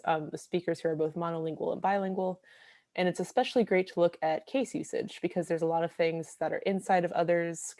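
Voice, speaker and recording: A woman's voice: low-pitched, a little mellow, no room sound. A young woman researcher giving a careful, explanatory slide presentation, with frequent ums.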